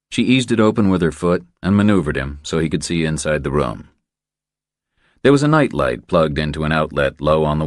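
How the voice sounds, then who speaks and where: A man's voice: a bass voice, dry recording, studio quality. A man reading scripted thriller narration as an audiobook narrator.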